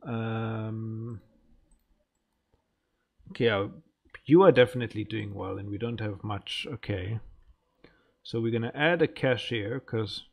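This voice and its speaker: A man's voice: fairly low pitch, takes it slowly, creaky, long pauses. A man giving casual let's play commentary as a gaming host, muttering and thinking aloud.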